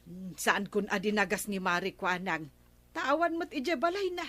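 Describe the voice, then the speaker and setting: A woman's voice: mid-range voice, moderate pace, bright, forceful tone. A woman with an Ilocano accent playing a chatty village friend in a comedy radio drama.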